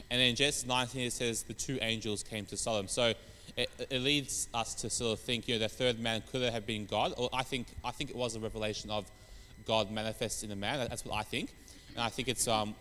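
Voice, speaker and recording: A man with a croaky voice from hay fever, giving a relaxed sermon with many pauses and fillers. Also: mid-range voice, long reverb tail, a little hiss or hum. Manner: rambling, many fillers and restarts. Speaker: preacher. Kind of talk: informal sermon on scripture.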